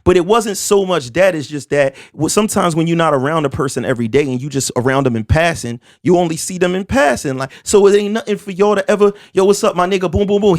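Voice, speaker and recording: A man in his forties with a fairly high voice, holding court on a casual podcast. He talks with emphatic, animated energy, leans on street slang and stumbles into quick restarts before driving his point home. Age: 40s. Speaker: podcast host.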